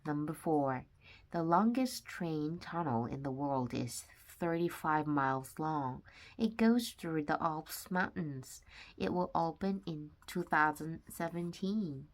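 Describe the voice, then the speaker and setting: Woman, low pitch, slow pace, children's book read-aloud, deliberate phrasing. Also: expressive.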